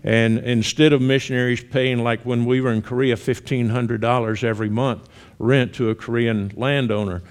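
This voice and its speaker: A man in his sixties with a Southern US accent, talking plainly and conversationally as a missionary guest speaker at a church, with some gentle humor. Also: medium pitch, steady tone, moderate pace, smooth. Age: 60s. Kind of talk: church testimony talk.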